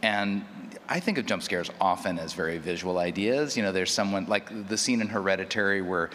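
A man's voice: sits low, fairly quick, a bit bright. A man speaking off the cuff as a panelist, thoughtfully explaining and feeling his way through his points. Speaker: panelist, audio drama producer. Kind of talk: unscripted panel discussion. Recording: echoey hall, clear background noise.

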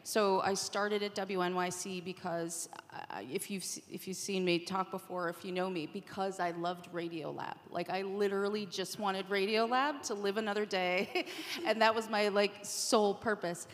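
A woman's voice: mid-range voice, fairly even intonation, moderate pace. Chatty woman panelist in a reverberant room. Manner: chatty, informal. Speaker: panelist, audio executive. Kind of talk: casual panel talk. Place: on stage at a conference session. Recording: reverberant conference room sound.

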